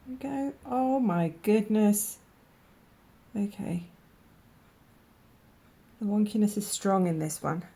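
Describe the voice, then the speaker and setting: A woman speaks in an unhurried, gentle way, her voice gravelly and hoarse from a lingering cold. She muses quietly to herself, now and then slipping into a near whisper, at a middling pitch. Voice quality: croaky, gravelly. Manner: slow, soft-spoken, musing aloud, dropping to a whisper. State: croaky from a cold. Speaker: art vlogger. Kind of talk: casual voice-over while swatching paints.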